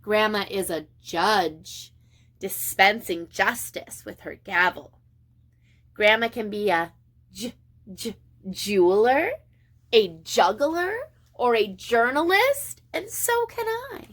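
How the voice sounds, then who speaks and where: A woman preschool teacher reading a picture book aloud to young children in an expressive, sing-song way with deliberate pauses. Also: mid-range voice.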